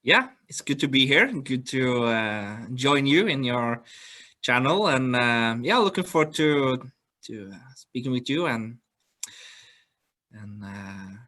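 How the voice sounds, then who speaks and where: A Norwegian man speaking English as a non-native, a guest in a video-call interview, talking haltingly with many fillers as he searches for words. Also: medium pitch, lively intonation, bright, forceful tone. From Norway.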